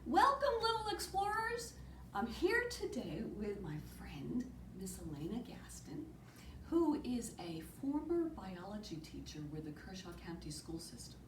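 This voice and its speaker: A woman in her forties, a youth librarian, welcoming children to a storytime video in a bright, upbeat way. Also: mid-range voice, wildly swooping pitch, a little slow. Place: small room indoors on a sofa. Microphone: far camera. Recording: roomy, distant, noticeable hiss.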